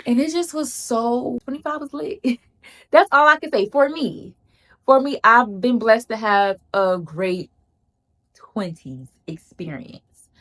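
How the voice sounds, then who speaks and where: A woman's voice: fairly high pitch, slow, fairly bright tone. A woman gives casual advice to camera. She talks in an emphatic, chatty way, repeats lines for emphasis and calls viewers "girl.". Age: 30s. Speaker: vlogger.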